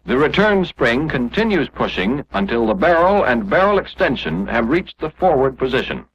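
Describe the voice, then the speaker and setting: A man's voice: mid-range voice, sing-song, normal speed, breathy edge. A man narrating a 1940s instructional film, explaining step by step in a scripted, didactic way. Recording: muffled, dull 1945 film soundtrack.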